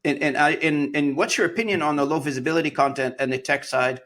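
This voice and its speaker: A man's voice: fairly high pitch, fast talker, fairly bright tone. A middle-aged man hosting a podcast, asking long questions with hesitations and restarts. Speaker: podcast host. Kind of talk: interview questions.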